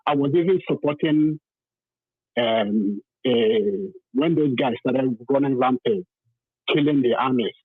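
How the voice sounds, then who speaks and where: A man's voice: mid-range voice, measured pace, husky, no echo. Nigerian man on a thin phone line. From southern Nigeria. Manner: emphatic, repeats phrases for stress. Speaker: caller to a talk programme. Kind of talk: impassioned phone-in testimony.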